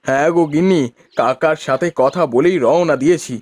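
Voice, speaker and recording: A man's voice: a little high, brisk, a little mellow, no echo, natural voice. A man voicing a cheerful, polite farmer husband from a village, in cartoon dialogue. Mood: relaxed, homely.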